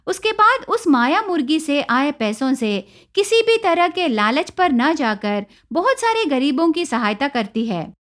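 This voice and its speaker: A woman's voice: high voice, a bit bright. A woman narrator reading a scripted moral story for children.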